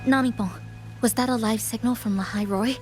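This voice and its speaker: A woman playing a serious, careful young woman in a video game, speaking in a measured, formal way. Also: on the high side, fairly even intonation, music in the background, natural voice. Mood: puzzled.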